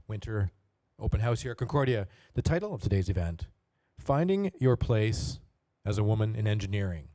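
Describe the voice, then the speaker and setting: A man hosting a university panel event, giving a measured, formal welcome. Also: mid-range voice, warm tone. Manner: measured, announcing. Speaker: event host.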